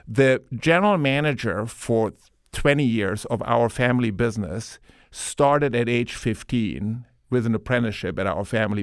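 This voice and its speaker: A man's voice: mid-range voice, dry recording, studio quality. A middle-aged man from Germany, speaking earnestly on a panel with frequent ums and uhs. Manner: earnest, thinks aloud with many fillers. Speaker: panel guest, manufacturing advocate. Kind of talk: panel discussion.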